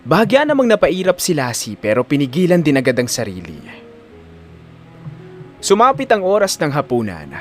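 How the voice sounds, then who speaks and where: A man's voice: fairly high pitch, huge pitch swings, moderate pace, pauses often, faint music behind. A man with a Filipino accent reading scripted, dramatic story narration.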